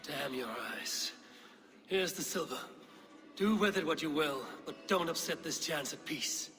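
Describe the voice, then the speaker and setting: A commanding, level-headed male Viking leader from a video game. The voice is forceful and slightly high, measured and firm, with music faintly behind it. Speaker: video game character actor. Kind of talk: dramatic game cutscene acting.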